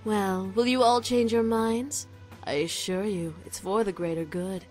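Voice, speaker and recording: A woman's voice: medium pitch, steady tone, unhurried, a little mellow, lightly acted. A haughty demon temptress acted by a woman in a visual novel game. She is mocking and condescending, drawing out her words with smug seduction, while music plays behind her. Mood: smug, coaxing.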